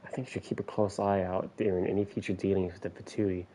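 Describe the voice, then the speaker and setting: A man streaming a game, thinking out loud in a rambling way full of "like" and "you know". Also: sits low, flat delivery, fast talker, background noise. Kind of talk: casual let's-play commentary.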